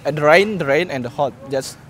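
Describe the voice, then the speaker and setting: A man from Indonesia with an Indonesian accent, answering casually in halting English and often repeating words. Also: a little high. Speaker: interviewee. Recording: clear outdoor traffic noise.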